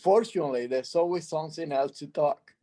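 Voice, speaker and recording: A middle-aged man from Cuba speaks English with a Spanish accent, mellow and warm in tone, on a fairly high pitch. He reflects slowly on his work, restarting phrases as he searches for words. His voice comes over a video call. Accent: Cuban Spanish. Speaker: artist guest. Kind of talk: reflective interview answers.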